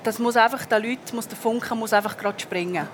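A woman's voice: fairly high pitch, a little fast. Swiss woman in her 30s, singer chatting in an interview, lots of ähs, a little noise behind. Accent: Swiss German. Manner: chatty, many fillers and restarts. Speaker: guest singer. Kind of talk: casual interview answers.

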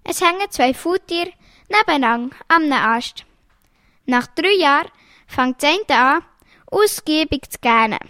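A woman reading jokes aloud in a lively storytelling way, changing her voice for the quoted lines. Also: high voice, a little slow, no echo, clean studio sound.

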